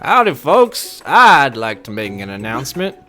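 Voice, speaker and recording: A man's voice: medium pitch, huge pitch swings, normal speed, bright, forceful tone. A man voicing a courteous, earnest young culinary student in a folksy, proclaiming way for a video game. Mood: proudly announcing. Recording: music behind.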